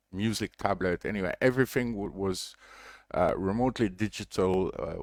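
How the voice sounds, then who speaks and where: Low-voiced belgian guy rambling thru a story on a livestream, lots of ums, dry close mic. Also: no room sound. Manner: rambling, many fillers and restarts. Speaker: diver and reef conservation project lead. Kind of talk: casual livestream update, storytelling.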